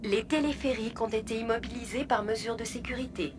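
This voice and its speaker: An actress playing a calm, impersonal female virtual intelligence making formal facility announcements in a video game. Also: fairly high pitch, fast, natural voice. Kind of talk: automated system announcement.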